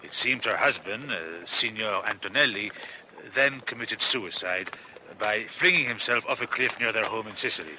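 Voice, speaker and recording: Bright, theatrical Frenchman. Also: fairly low pitch, moderate pace, a little hiss or hum, rough recording. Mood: intrigued. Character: jovial, proud French reformed master criminal on holiday. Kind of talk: radio drama acting.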